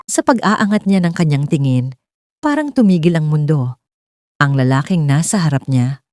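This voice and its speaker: A woman's voice: deep voice, expressive, normal speed, a little mellow, no room sound, clean studio sound, faint music behind. A woman reading a scripted romance story as its narrator.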